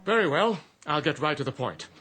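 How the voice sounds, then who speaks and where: A man's voice: a little high, expressive, a little fast, sharp tone, faint music behind. A man acting in a radio drama as an urbane, polite genie who talks in formal, precise sales patter. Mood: persuasive, assured. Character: urbane genie in a business suit, polite and matter-of-fact.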